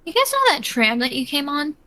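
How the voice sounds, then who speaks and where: A woman's voice: high-pitched, big pitch swings, a little fast, pushed and bright. A woman running a tabletop role-playing game as game master, explaining rules and describing scenes in a matter-of-fact way.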